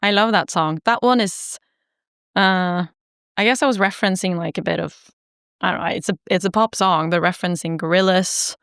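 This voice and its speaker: A singer-songwriter woman from Norway, chatting casually in an interview, laughing easily and filling pauses with ums and likes. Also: fairly low pitch, steady tone, fairly bright tone, smooth, no room sound, studio quality. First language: Norwegian.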